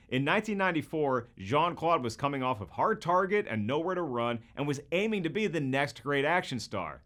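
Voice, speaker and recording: A man's voice: a little high, fairly bright tone. A man hosting a scripted video commentary in a jokey, colloquial and emphatic way. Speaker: host.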